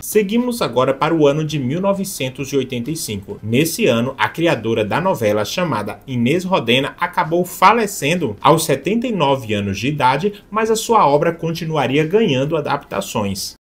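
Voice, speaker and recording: A man's voice: on the high side, big pitch swings, normal speed, hardly any pauses, breathy edge. A Brazilian man narrating scripted telenovela history as a host, chatty and explanatory, speaking directly to viewers.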